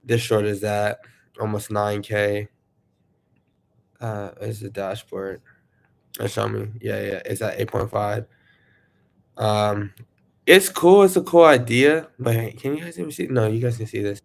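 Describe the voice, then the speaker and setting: A man casually chatting to viewers on his livestream, rambling and excitable, sniffling from allergies. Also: fairly low pitch, measured pace, gravelly, long pauses. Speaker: YouTuber livestreaming.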